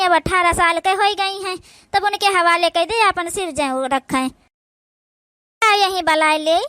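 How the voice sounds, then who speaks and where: A very high, bright cartoon voice, acted by a woman, playing a weary village stepmother with an Awadhi accent. She is plaintive and devoted, coaxing with endearments. The recording is close and dry. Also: no room sound. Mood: resigned. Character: weary, loving village stepmother, tearfully devoted to her daughter. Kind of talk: village cartoon comedy-drama acting.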